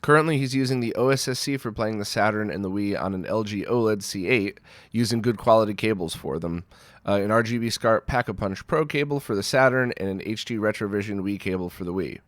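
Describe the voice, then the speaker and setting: Man, nasal from a cold, low voice, dry close recording, casual rambling host. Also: fairly quick, dry recording. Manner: rambling, self-deprecating, with frequent fillers and restarts. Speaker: host of a retro gaming Q&A. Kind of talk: casual Q&A talk.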